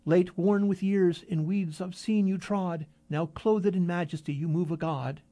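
A man's voice: a little high, normal speed, darkish tone. A man voicing a drunk, theatrical young man who declaims verse in an overblown way. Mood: overwrought.

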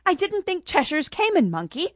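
A woman's voice: extremely high pitch, sing-song, moderate pace, dry recording, studio quality, pitched above the performer's own voice. A woman voicing a bold, brash young swordswoman with a commanding manner. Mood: surprised.

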